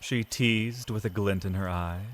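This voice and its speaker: A man delivering dramatic, measured literary narration as the storyteller of a scripted fantasy tale. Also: lowish voice. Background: music.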